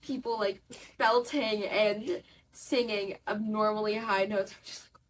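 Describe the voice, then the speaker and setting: A woman's voice: mid-range voice, fairly even intonation, measured pace. A teenage girl theater student and singer, chatting eagerly with friends. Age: teens. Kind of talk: casual chat. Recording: distant mic, faint room noise.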